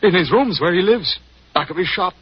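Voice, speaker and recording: An actor playing an earnest, agitated village police constable with a rural English accent, in 1940s radio drama style. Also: male voice, sits high, animated, brisk, background noise. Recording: muffled 1940s radio broadcast.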